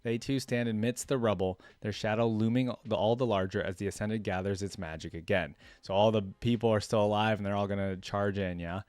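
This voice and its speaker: Low-pitched man, informal reaction host, dry sound. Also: steady tone, a little fast, few pauses, darkish tone, no room sound. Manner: informal, colloquial. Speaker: reaction video host reading a story aloud. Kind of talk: reading a fantasy story aloud with casual off-the-cuff commentary.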